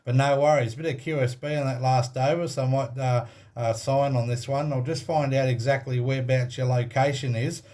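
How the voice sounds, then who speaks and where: An Australian man working as an amateur radio operator, chatting casually over a contact in halting phrases full of operator jargon. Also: mid-range voice, fairly even intonation, mellow.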